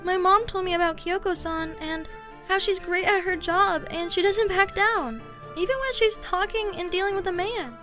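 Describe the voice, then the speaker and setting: A woman's voice: extremely high pitch, moderate pace, pushed and bright, soft background music. A woman voicing a polite, frank, shy middle-school girl in a manga dub, speaking earnestly and hesitantly. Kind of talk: anime-style manga dub dialogue.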